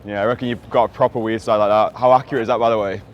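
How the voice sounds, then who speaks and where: Man, lowish voice, teasing banter, street interview, outdoor city ambience faintly behind. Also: fairly even intonation, very fast, highs cut off, slight background noise.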